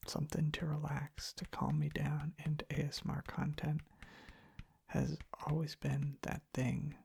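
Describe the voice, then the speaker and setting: A young man giving a soft-spoken ASMR ramble close to the microphone, hushed and halting, with pauses mid-sentence. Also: fairly high pitch, completely flat delivery, vocal fry, husky. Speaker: ASMR content creator. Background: soft tapping and clicking.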